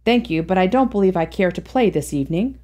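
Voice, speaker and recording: A woman's voice: fairly low pitch, animated, quick pace, warm tone, lightly acted. A woman voicing a polite, reserved young man.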